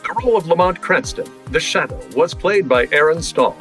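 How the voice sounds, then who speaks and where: A man's voice: on the high side, expressive, brisk, loud music behind the voice, natural voice. A man playing a formal old-time radio announcer, reading scripted credits and teasers.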